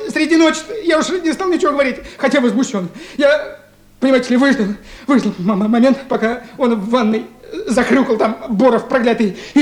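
A man playing a cowardly, breathless, stammering informer in a radio drama, whining and repeating himself in jumbles. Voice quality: stammering, high-pitched, breathless. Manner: frantic, whining, jumbled repetitions. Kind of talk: Soviet radio drama acting. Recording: noisy, echoey vintage radio play recording.

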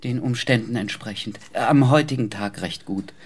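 An actor playing a patient, attentive caretaker who speaks in a calm, polite and reassuring way. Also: male voice, medium pitch, moderate pace, husky, lightly acted. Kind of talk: radio play acting.

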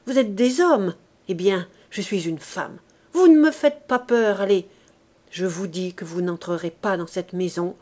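A woman voicing a fierce, defiant young woman in rags who taunts and shouts down a gang of men. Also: mid-range voice.

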